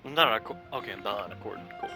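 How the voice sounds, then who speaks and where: A man's voice: on the low side, expressive, rapid-fire. A man giving casual, offhand, sweary commentary as he plays a video game. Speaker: gaming streamer. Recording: commentary over game music.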